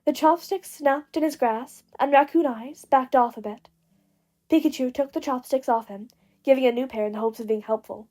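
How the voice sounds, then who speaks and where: A woman reading a piece of fiction aloud as narrator, with expressive scripted delivery. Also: high-pitched, moderate pace. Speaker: podfic narrator.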